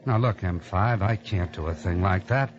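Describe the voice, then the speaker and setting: An actor playing a hard, uneasy male smuggler pilot, gruff in manner, in 1940s radio acting. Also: low-pitched, moderate pace, close to an everyday voice. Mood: protesting. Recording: muffled old radio broadcast with hiss.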